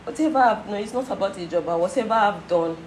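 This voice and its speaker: An actress playing a friend who begs and apologizes over and over. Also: female voice, mid-range voice, expressive, fast talker, darkish tone, natural voice. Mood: apologetic. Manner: apologetic, begging, repeats sorry. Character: woman confessing a betrayal to her friend. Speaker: friend confessing. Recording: roomy echo, faint noise.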